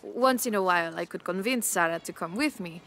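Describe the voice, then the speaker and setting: A woman's voice: on the low side, a little fast, fairly bright tone. Easygoing, chatty local woman. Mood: fond, casual. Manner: casual, chatty. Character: friendly, easygoing local young woman reminiscing. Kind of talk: conversational video game dialogue.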